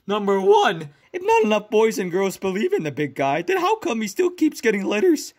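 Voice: mid-range voice, moderate pace. A performer voicing a chatty, exasperated Christmas elf who tells a tale with wry asides in a cartoon comic dub. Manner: animated, wry, digressing into asides. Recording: music laid under voice.

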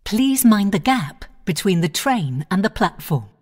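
Slow, lilting female train announcer. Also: medium pitch, sing-song. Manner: measured, clipped station-by-station phrasing.

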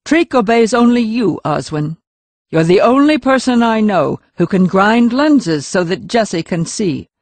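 A woman's voice: medium pitch, lively intonation, unhurried, darkish tone, dry recording. A woman playing a grave, caring older woman with a firm manner in an audiobook drama. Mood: firm, pleading.

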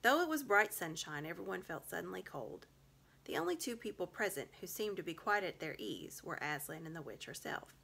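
Bright-voiced woman reading a story aloud. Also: fairly low pitch, very animated, brisk, gravelly, slightly rough. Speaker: storybook reader. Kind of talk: expressive read-aloud narration. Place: small room at home.

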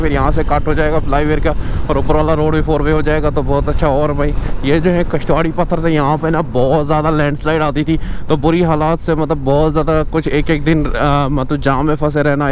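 A young man chats casually and colloquially, repeating himself, as he vlogs outdoors from a motorbike. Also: a little high. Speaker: motovlogger. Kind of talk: casual spoken vlog while riding a motorbike. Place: outdoors on a moving motorbike on the road. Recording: noisy outdoor ride with vehicle noise.

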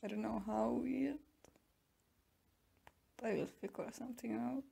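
A woman playing a smug, taunting tsundere captor in an ASMR roleplay, mocking and teasing with drawn-out pauses. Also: fairly high pitch, fairly even intonation, gravelly, warm tone, breathy edge, heavily acted. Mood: grudging, threatening. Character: smug, taunting tsundere young woman keeping a captive werewolf.

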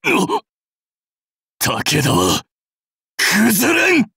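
Fierce, proud warlord, widely swinging delivery. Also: male voice, mid-range voice, animated, takes it slowly. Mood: fierce battle shouting. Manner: terse, rough, declamatory delivery. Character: proud, fierce warlord general, commanding and cocky. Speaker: voice actor. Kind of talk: anime-style mobile game battle and dialogue voice lines.

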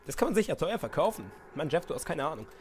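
A man with a cold doing goofy, excitable let's-play commentary and reading game dialogue aloud. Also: a little high, fast, a little hiss or hum. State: has a cold. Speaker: let's player. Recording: music playing behind voice.

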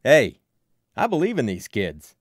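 A man voicing a burly, protective local sports fan for an audiobook, loud, punchy and colloquial. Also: mid-range voice, sing-song, normal speed, no room sound, studio quality, close to an everyday voice. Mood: confronting. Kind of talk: audiobook character voice.

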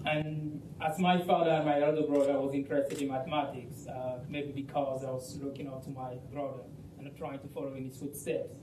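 A man's voice: mid-range voice, little pitch movement, brisk, noisy background. A young man from Cameroon whose first language is French, earnestly telling his story as a panelist on a conference stage, speaking on a lapel mic. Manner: earnest storytelling, laughs easily. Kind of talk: panel discussion answer.

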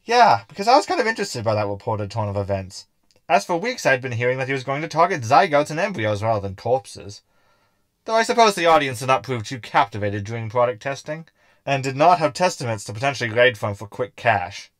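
A cranky robot crewmate from a sci-fi audio comedy, played by a man, wordy and mocking. His pitch is lively and mid-range, recorded very dry with a mean, sarcastic edge. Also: a little fast, no room sound. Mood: dry, curious.

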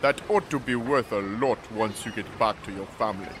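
An actor playing a dry, sardonic traveller in an audio drama. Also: male voice, on the low side, brisk, noisy background, close to an everyday voice. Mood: dry sarcasm. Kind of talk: full-cast audio drama acting. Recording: heavy background ambience under voice.

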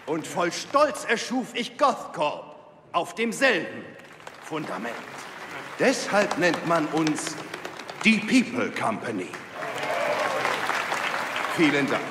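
An actor playing a benevolent male industrialist in an audio drama, speaking like an orator with measured pauses. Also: on the high side, very animated. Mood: grand, proud. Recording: echoey hall with crowd and noise.

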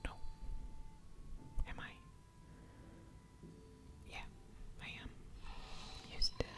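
Whispering woman, slow and breathy. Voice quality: breathy whisper with soft mouth sounds. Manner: hushed, musing aloud over each move. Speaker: game streamer, ASMR creator. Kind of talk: whispered ASMR game commentary.

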